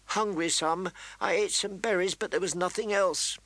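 A man's voice: sits high, moderate pace, a bit bright, dry recording, voice pushed up. A man voicing a scrawny, stubborn nine-year-old girl, plaintive and protesting, in a storybook reading. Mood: small, weary.

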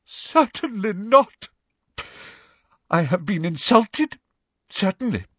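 Storybook seer-teacher, older woman played by a man: shrill, throbbing, self-pitying grandeur, wildly swooping pitch. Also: very animated, slow, husky, no room sound, lo-fi sound, exaggerated voice. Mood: wounded, indignant. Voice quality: high-pitched, wavering, throbbing. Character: hysterical, theatrical older female divination teacher.

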